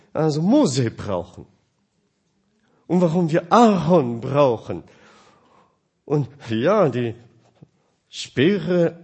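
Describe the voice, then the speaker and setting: Husky non-native man preaching slowly. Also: medium pitch. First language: not German. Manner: emphatic, swings between loud and quiet, asks the audience questions. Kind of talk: sermon.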